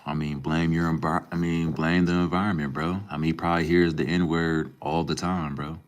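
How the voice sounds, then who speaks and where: Very deep-voiced laid-back male streamer. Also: normal speed. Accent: Minnesota. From Minneapolis, Minnesota. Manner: laid-back, relaxed slang, calls viewers bro and man. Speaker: livestreamer. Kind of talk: casual live stream chat, reading and answering viewer comments.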